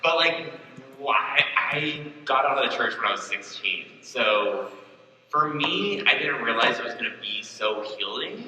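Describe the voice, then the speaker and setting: A man's voice: mid-range voice, lively intonation, a little slow, bright, forceful tone, far from the mic. A man speaking candidly as a panelist, joking and rambling, recorded from afar in a convention hall. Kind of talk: panel discussion. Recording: echoey hall, mic from afar.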